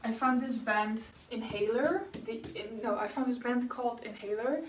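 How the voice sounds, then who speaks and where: A woman's voice: on the high side, normal speed, roomy sound, recorded from a distance, a little hiss or hum, poor audio. A young woman from the Netherlands with a Dutch accent, chatting casually and rambling while she paints for her vlog. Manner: chatty and rambling, with fillers and self-corrections. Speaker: vlogger.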